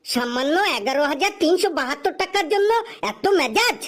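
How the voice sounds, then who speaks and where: Woman acting smart-mouthed young jobless man, high cartoon pitch, teasing, glib, comic cartoon dialogue. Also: lively intonation, a little fast. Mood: cheeky. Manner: glib, teasing back-talk.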